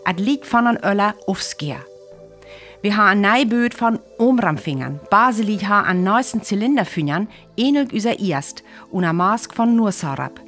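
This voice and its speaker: A woman playing a serious radio news reporter in a radio play, with a North Frisian accent and measured delivery. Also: medium pitch, lively intonation, fairly bright tone, faint music behind, lightly acted. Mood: serious, urgent. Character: female radio news reporter covering an invasion.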